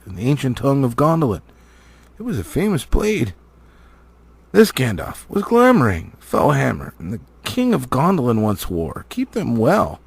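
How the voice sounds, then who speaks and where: A man's voice: mid-range voice, gravelly, slightly rough, dry recording, pitched above the performer's own voice, exaggerated voice. A man voicing a stately, learned elf lord from a storybook, solemn and declamatory in his delivery. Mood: grave, emphatic. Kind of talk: storybook character reading.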